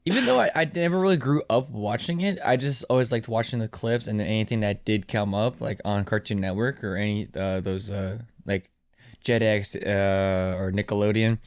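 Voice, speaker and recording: A man's voice: lowish voice, moderate pace, no room sound. A man co-hosting a podcast in casual conversation, talking in an informal, slangy way. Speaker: podcast co-host. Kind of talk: casual chat.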